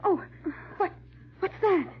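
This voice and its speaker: An actress playing a loyal, robust maidservant, excitable yet brave, in melodramatic 1940s radio drama style. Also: female voice, very high voice, takes it slowly, exaggerated voice. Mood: alarmed. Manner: melodramatic, alarmed, deferential. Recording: muffled vintage radio recording.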